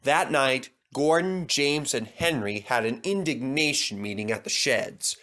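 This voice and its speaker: A man's voice: mid-range voice, lively intonation, unhurried, pushed and bright. A man reading a scripted children's story as narrator. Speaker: storyteller, reader.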